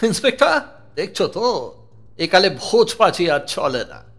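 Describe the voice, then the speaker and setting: An actor playing a pompous, sceptical British colonial police chief in an audio horror story. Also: male voice, high voice, very animated, measured pace, a bit bright, faint music behind, full character voice. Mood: amused. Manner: pompous, dismissive.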